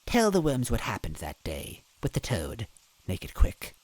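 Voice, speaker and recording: A woman's voice: very deep voice, wildly swooping pitch, measured pace, darkish tone, dry recording, full character voice. A woman voicing a hideous, gleefully cruel bean witch in a storybook reading, taunting with a theatrical sneer.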